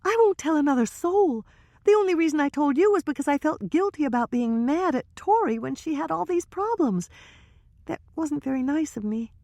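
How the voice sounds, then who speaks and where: A woman doing a high, nervous gossiping girl. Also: lively intonation, moderate pace, dark tone, dry recording. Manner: breathless, hesitant gossip. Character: young woman, nervous, gossipy and easily flustered.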